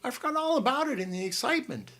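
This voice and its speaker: A man's voice: extremely high pitch, animated, fast, sharp tone, pitched above the performer's own voice, exaggerated voice. A man reading an audiobook and voicing a fussy, flustered middle-aged aunt who rambles breathlessly. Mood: chatty.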